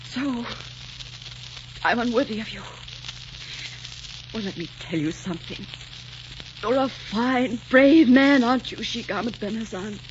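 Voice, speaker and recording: A dull, faintly hissy 1940s radio recording carries a proud young heroine played by an actress with a rather high voice. She is a lovelorn captive, earnest and melodramatic in every phrase. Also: measured pace, pauses often, lightly acted. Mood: wounded, scornful.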